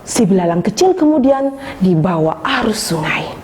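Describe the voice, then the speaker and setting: A woman telling a children's story, narrating in a slow, expressive, sing-song way. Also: on the low side, background noise.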